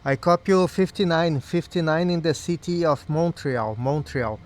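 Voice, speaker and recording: A man's voice: fairly high pitch, normal speed, darkish tone. A man operating amateur radio, chatting casually in a friendly way and spelling things out phonetically. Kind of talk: casual two-way ham radio chat.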